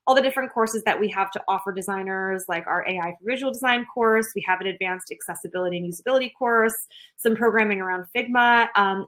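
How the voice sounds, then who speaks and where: A woman's voice: medium pitch, a bit bright. A young woman hosting and introducing a webinar in an upbeat, casual way with frequent giggles. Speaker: webinar host.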